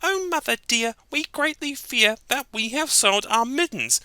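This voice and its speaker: A nursery-rhyme reader voicing three young kittens who whine and meow in high squeaky voices. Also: animated, normal speed, pushed and bright, clear, resonant tone, no room sound, studio quality. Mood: pleading. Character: three little kittens, young, whiny and meowing.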